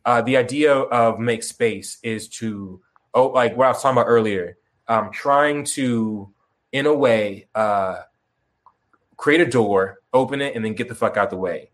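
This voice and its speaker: A young man guesting on a call-in interview, talking casually, rambling and halting, with many restarts and fillers and some swearing. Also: medium pitch. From Chicago, grew up in Tucson. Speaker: musician guest. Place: remote video call.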